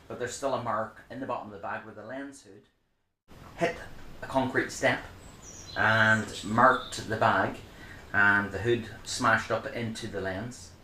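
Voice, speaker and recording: Chatty middle-aged man, bright echoey voice. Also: on the low side, steady tone, a little slow, slight background noise. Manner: chatty, rambling, frequent ums. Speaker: vlogger reviewing camera bags. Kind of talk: casual show-and-tell. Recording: echoey room.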